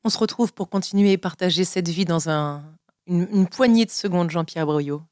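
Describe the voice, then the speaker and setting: A woman's voice: on the low side, moderate pace, a little mellow, dry recording. Woman radio host, close dry sound. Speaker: radio host interviewing a guest. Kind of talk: literary radio interview, reading excerpts and questions.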